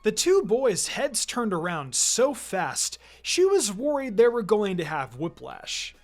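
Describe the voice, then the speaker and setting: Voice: medium pitch, very animated, slow. A narrator reading a scripted fan fiction story aloud. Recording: music behind the voice.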